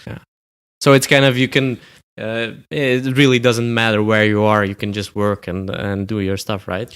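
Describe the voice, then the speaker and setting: A man's voice: fairly low pitch, level tone, normal speed, a bit bright, clear, resonant tone. A man hosting a podcast interview, asking his questions casually with stammering restarts. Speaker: podcast host.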